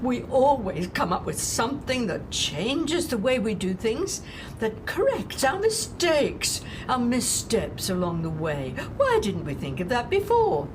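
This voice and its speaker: A woman playing a despairing, scornful woman in an audio drama monologue, haltingly lecturing an unseen listener on humanity's end. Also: fairly high pitch, sing-song, background noise, voice pushed up. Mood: mocking, exasperated. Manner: deliberate, halting, rhetorical, addressing an unseen listener.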